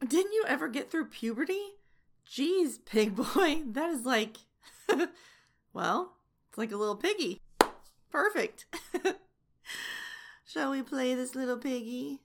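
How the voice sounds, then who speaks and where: A young woman playing a sharp-tongued, domineering flight attendant who mocks and taunts. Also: sits high, expressive, slow pace, vocal fry, long pauses, full character voice. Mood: mocking. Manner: mocking, teasing taunts. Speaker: voice actor in an audio roleplay. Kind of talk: adult audio roleplay.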